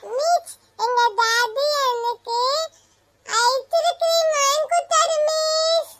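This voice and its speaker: A woman voicing an excitable, childish little schoolgirl in a cartoon classroom, with a sing-song way of boasting and complaining. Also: extremely high pitch, slow pace, heavily acted. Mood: proudly boasting.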